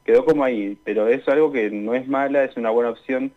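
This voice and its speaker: A young Argentine man answering questions in a conversational radio interview, speaking in a hesitant, halting way. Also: mid-range voice. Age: young adult. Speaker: interview guest, student radio drama maker. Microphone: remote call into a radio show. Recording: dull, lo-fi call-in sound.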